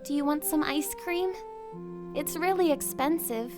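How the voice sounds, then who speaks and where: A woman's voice: sits high, unhurried. A woman voicing a sulky, proud teenage schoolgirl in a wheelchair for a fan dub, pouting and defensive. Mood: subdued. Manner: pouty and defensive, stubborn. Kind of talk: fan dub of a visual novel. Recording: music playing underneath.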